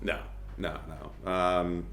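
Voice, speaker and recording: A man with a very low voice talks as a podcast guest in a relaxed, easygoing way, poking fun at himself now and then. The room around him is noticeably echoey, with a faint hiss underneath. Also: slow pace. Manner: easygoing, self-deprecating. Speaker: podcast guest, hockey player. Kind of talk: casual chat.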